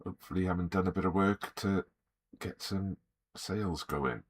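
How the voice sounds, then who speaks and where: A middle-aged Welsh man with a slightly croaky, sore throat, chatting easily and greeting viewers by name while he draws on a live stream. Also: deep voice, normal speed. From Wales. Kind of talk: relaxed live-stream chat while drawing.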